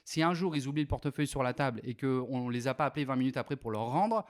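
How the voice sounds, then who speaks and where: A French-born man in his thirties, guest on an interview podcast, talking in a lively, rambling way with many fillers. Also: mid-range voice, fast. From France. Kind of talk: casual interview chat.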